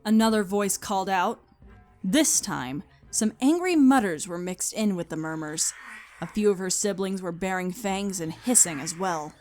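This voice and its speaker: A woman's voice: medium pitch, lively intonation, normal speed, a little mellow. Woman narrating a story over music. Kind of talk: scripted dramatic story narration.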